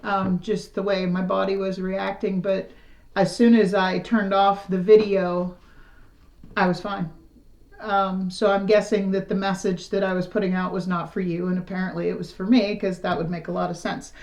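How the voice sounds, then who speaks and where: A middle-aged woman with a raspy, slightly hoarse voice gives a casual tarot reading to the camera. She talks in a frank, rambling, conversational way. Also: medium pitch, level tone, moderate pace, a little mellow. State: slightly hoarse after illness. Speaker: tarot reader.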